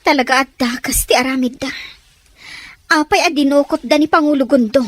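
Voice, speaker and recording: Woman acting high-voiced troubled young daughter. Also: fairly quick, dry recording, natural voice. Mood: distressed, indignant.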